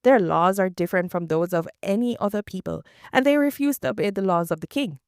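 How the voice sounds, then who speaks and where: A woman reading the part of a scheming male royal official in a smooth, persuasive way, close to her own voice. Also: medium pitch, expressive, brisk, a little mellow, no echo, lightly acted.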